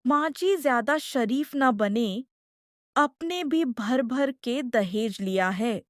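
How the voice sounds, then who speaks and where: Defiant young daughter-in-law, cartoon, female actor: raised pitch, drawn-out pace, talks back. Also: lively intonation, dry recording, studio quality. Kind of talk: cartoon voice acting.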